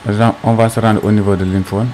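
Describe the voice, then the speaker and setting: A man teaching an unscripted tech tutorial step by step, haltingly, often asking questions and answering them himself. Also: on the low side, level tone, darkish tone, a little hiss or hum.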